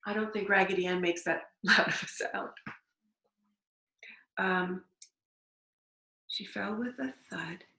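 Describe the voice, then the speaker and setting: A woman reading a storybook aloud to young children, deliberately, with simple explanatory asides. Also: mid-range voice, fairly even intonation, bright, forceful tone. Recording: echoey room.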